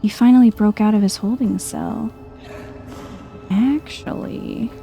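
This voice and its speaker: A soft-spoken woman giving hushed, chill ASMR-style video game commentary, thinking aloud to herself. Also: medium pitch, fairly even intonation, unhurried, vocal fry, music in the background.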